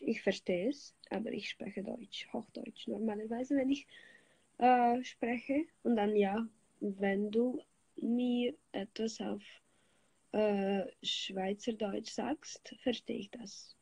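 A woman's voice: a little high, husky, no room sound, rough recording. Young woman livestreaming from her bed. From Switzerland. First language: Italian. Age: young adult. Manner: greets viewers, switches languages, relaxed and chatty. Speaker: livestream host. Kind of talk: casual livestream chat with viewers. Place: lying on a bed indoors. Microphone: phone held at arm's length.

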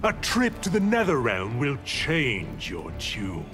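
Scheming condescending sorcerer, slow, hugely sweeping intonation, music behind. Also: male voice, mid-range voice, huge pitch swings, exaggerated voice. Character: scheming pale male necromancer sorcerer. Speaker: fighting game character. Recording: game audio with music behind.

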